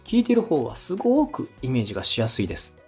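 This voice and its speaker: A man hosting a podcast, politely and methodically giving encouraging production advice in a slightly husky voice. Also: medium pitch, huge pitch swings, quick pace, darkish tone, faint music behind. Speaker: podcast host and radio director giving feedback.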